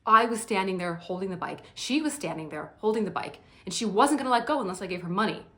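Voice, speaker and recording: Actress as a chatty, self-absorbed late party guest. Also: female voice, medium pitch, animated, fast talker, fairly bright tone, natural voice. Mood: indignant. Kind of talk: theatrical monologue performance.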